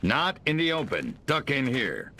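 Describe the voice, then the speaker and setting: A man's voice: mid-range voice, big pitch swings, a little slow, pushed and bright, a little hiss or hum, heavily acted. A man playing a terse, wry 1950s government agent with an American accent and clipped, dry delivery in a video game. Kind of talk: video game cutscene acting.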